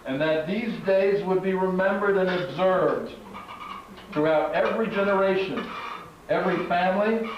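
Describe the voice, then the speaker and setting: A man with a slightly high voice reads scripture aloud as a narrator at a live event. His delivery is measured and declamatory. The recording is very echoey and distant, with muffled sound and clear noise. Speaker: narrator and master of ceremonies at a congregational puppet show. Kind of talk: live scripture reading to an audience. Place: large echoing room at a live congregational event. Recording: echoey hall from far off, muffled, noisy.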